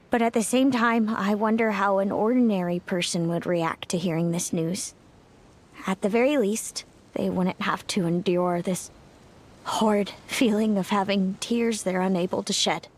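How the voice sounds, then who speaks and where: A woman voicing a composed, sorrowful young woman in a video game, speaking in a solemn, gentle and reflective way. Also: mid-range voice, unhurried, long pauses, lightly acted. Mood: solemn, resigned. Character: Selena, composed, sorrowful long-lived sister, a young woman.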